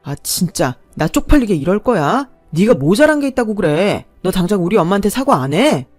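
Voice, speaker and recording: A woman's voice: low voice, sing-song, measured pace, soft background music. A woman voicing a spineless young man who whines and gets defensive. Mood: irritated. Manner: whiny and defensive. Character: spineless young man, mama's boy fiancé.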